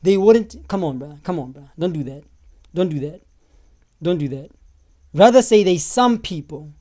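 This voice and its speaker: A hoarse South African man hosting a live stream, reading viewers' comments out loud and answering them in an animated way. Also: a little high, moderate pace. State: hoarse, losing his voice.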